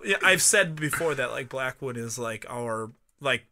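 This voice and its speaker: Bright-voiced rambling man in his thirties. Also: mid-range voice, lively intonation. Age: 30s. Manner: rambling, halting restarts. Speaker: podcast co-host. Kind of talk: casual chat.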